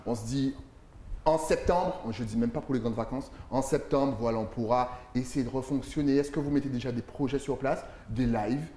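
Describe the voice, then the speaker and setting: A man from Guadeloupe interviewing an artist in a casual, lively way. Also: mid-range voice, normal speed. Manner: lively, enthusiastic, rising excitement. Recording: echoey room with faint noise.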